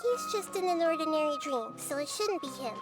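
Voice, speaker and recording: An actress voicing a small, wise girl god of dreams in video game dialogue, speaking calmly and reasoning things through patiently. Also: high-pitched, a little fast. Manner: calm, patient, reasoning step by step. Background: faint game music.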